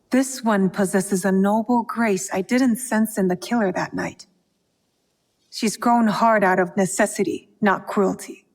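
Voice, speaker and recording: A woman's voice: mid-range voice, a little mellow, long reverb tail. A woman playing a guarded, determined young female shinobi bent on revenge in a video game, speaking in a serious, terse way. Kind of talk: video game cutscene acting.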